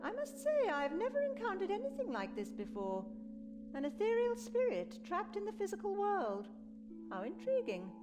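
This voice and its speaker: A woman's voice: very high-pitched, heavily acted. A woman playing a proper, easily affronted wizard scholar in a fantasy video game, speaking formally and precisely. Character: esteemed female wizard of Saradomin, proper and indignant scholar.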